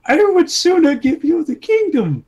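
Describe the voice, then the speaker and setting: A man's voice: extremely high pitch, big pitch swings, normal speed, darkish tone, highs cut off, voice pushed up, heavily acted. A man voicing a proud, upset king with dramatic defiance in a story reading. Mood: haughty, outraged.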